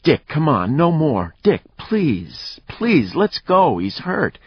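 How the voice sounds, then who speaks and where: A man's voice: on the high side, very animated, takes it slowly, husky, no room sound, muffled recording, pitched above the performer's own voice, full character voice. A man voicing a frantic, pleading woman in an audiobook, with a wailing manner.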